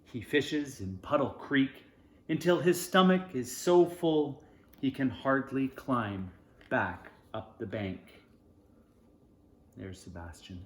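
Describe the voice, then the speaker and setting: A man's voice: mid-range voice, expressive, slow, slight background noise. Man reading a storybook in an echoey room. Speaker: storybook reader. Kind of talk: children's storybook read-aloud with informal asides.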